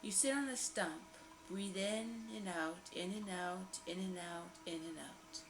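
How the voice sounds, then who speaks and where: A woman narrating a guided meditation visualization in a slow, repetitive, soothing manner with lingering pauses. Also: lowish voice, music in the background. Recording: roomy echo, faint background noise.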